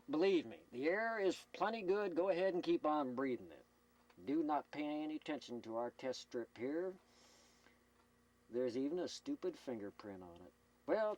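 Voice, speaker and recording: A folksy American man with a somewhat high-set voice works as an amateur announcer at a CB microphone. He reads out weather announcements in a loose, rambling way. His manner is jokey and self-deprecating, and he often breaks off into asides. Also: a little mellow, rough recording. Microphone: speaking into a CB radio microphone.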